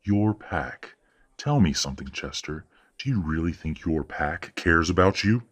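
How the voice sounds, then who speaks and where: Man playing a very deep, husky, condescending werewolf father. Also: animated, exaggerated voice. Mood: smug, needling. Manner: measured, patronizing, coldly genteel. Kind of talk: audio roleplay drama acting.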